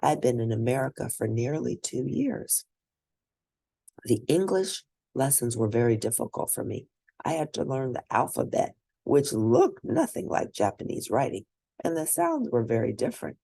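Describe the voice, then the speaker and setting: Husky woman reading a story aloud. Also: a bass voice, sing-song, moderate pace, warm tone, no echo. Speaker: read-aloud narrator. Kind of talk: scripted storybook reading in first person.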